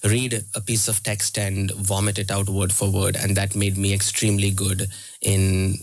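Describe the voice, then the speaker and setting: A man's voice: low-pitched, monotone, normal speed, no echo. A young man from Chennai with an Indian English accent, talking as a guest in an interview, reflective and full of restarts. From South India (Chennai). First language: Tamil. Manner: reflective, with frequent fillers and restarts. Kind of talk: conversational interview.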